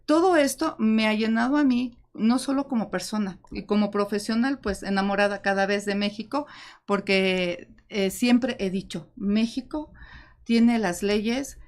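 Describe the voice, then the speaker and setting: A woman from Ecuador whose speech now carries a Mexican accent. She talks as a panel guest, lively and expressive, laughing easily as she speaks. Her pitch sits in a middle range for a woman. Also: a little slow. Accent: Mexican Spanish with Ecuadorian traces. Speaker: guest lawyer. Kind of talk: panel talk.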